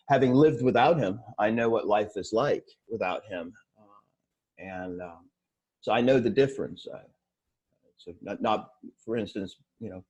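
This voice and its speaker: Husky, halting Swedish-born man in his forties. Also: fairly low pitch, darkish tone, muffled recording. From Sweden. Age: 40s. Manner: halting, many fillers and restarts. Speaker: interview guest. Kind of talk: casual interview.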